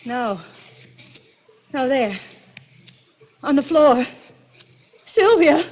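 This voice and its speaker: A woman's voice: high-pitched, very animated, very slow, darkish tone, exaggerated voice. An actress playing a frightened, haunted woman in breathless, stammering 1940s radio melodrama. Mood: frightened, shocked. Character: terrified young woman haunted by a killer. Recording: noisy vintage radio broadcast.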